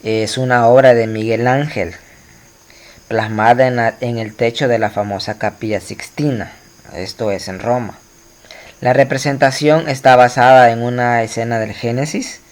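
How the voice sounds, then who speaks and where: Male teacher, mid-pitched, chatty lecture delivery with lots of "eh" fillers and self-corrections, talks straight to his students. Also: fairly even intonation, normal speed. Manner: explanatory, many fillers and restarts, addresses students directly. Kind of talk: recorded slide lecture.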